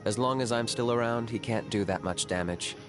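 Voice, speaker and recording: An actor playing a calm, pragmatic young male hunter who speaks evenly and measuredly. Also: mid-range voice, music in the background, natural voice. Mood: explaining. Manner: calm, measured, even-tempered.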